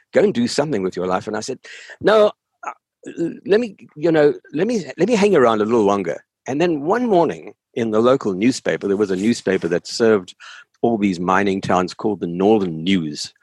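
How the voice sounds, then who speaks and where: An older man with a South African accent and a husky voice, telling stories conversationally in an interview, emphatic and often repeating words as he goes. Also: on the low side, no echo. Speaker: interview guest, author. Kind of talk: conversational storytelling.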